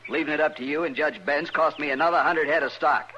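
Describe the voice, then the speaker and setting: An American actor playing an angry, stubborn cattle rancher in a radio western, with a gruff, blunt, rustic way of talking. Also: male voice, a little high, steady tone, quick pace, lightly acted. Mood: threatening. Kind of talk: old-time radio western acting. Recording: muffled old radio broadcast with hiss.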